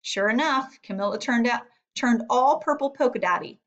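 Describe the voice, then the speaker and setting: A woman's voice: on the high side, normal speed, highs cut off. A woman teacher reading a storybook aloud and guiding children with instructive questions. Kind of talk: storybook read-aloud with guided lesson.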